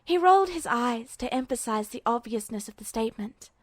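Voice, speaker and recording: A woman's voice: on the high side, animated, brisk, dry recording, clean studio sound. A woman narrating a story from a script as an audiobook-style reader. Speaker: podfic narrator.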